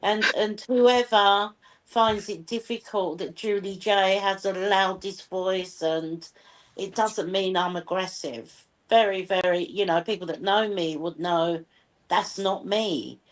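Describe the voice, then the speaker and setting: A woman's voice: mid-range voice, takes it slowly, poor audio. A woman co-hosting an online panel discussion, speaking confidently and candidly with frequent "you know". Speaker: co-host.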